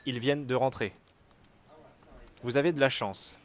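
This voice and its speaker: A man reading everyday French dialogues for learners, with clear, measured scripted delivery. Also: medium pitch, natural voice.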